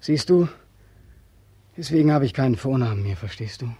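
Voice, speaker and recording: Husky, anguished returning soldier. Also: male voice, mid-range voice, expressive, moderate pace, mellow, no room sound. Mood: bitter, despairing. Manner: agitated, repetitive, anguished. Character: embittered, despairing young German soldier home from captivity. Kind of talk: 1940s radio drama acting.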